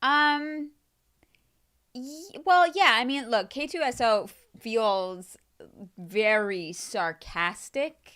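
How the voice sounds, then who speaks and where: A woman co-hosting a casual movie spoiler chat, agreeable and quick to laugh, often restarting her sentences. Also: on the high side, a bit bright, clean tone. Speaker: podcast co-host.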